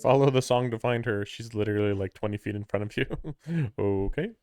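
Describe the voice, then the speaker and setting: A man's voice: on the low side, brisk, warm tone, no echo, clean studio sound. A man live-streaming a game, giving casual, muttered, laid-back reactions as he plays. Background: faint game music.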